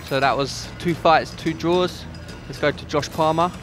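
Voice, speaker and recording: A man's voice: on the high side, steady tone, unhurried. A male commentator reacting to a grappling match in a conversational way. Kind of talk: live sports commentary. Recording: loud crowd and music behind.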